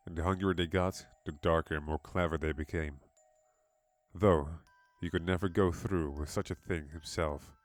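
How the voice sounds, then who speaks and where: A man narrating a scripted horror story in a measured, dramatic manner. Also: sits low. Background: background music.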